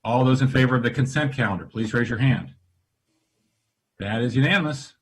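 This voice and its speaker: Man, council president, husky, brisk procedural tone, video call audio. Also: medium pitch. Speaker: council president chairing a session. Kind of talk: formal meeting chairing.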